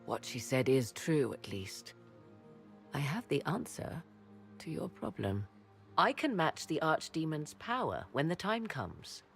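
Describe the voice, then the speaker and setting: Solemn sorceress for a fantasy game, measured and dramatic, very slow, music behind her. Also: deep voice, very animated, vocal fry, heavily acted. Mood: subdued, resolute. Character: proud, solemn sorceress woman, guarded and dramatic. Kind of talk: dramatic video game acting.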